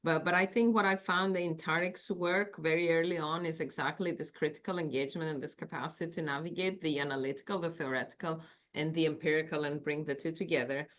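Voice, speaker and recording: Woman, greek accent, deep voice, academic talk, laptop mic, hesitant fillers. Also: a bit bright. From Greece. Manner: thoughtful, searching for words with fillers. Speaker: academic panelist. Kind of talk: remote conference talk. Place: office. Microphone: laptop on a video call.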